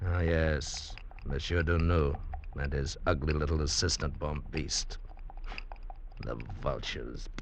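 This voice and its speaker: An actor in an old American radio drama playing a bitter, weary convict barber in a penal colony, brooding and halting. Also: male voice, extremely low pitch, steady tone, background noise, heavily acted. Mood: hateful. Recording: dull vintage radio recording.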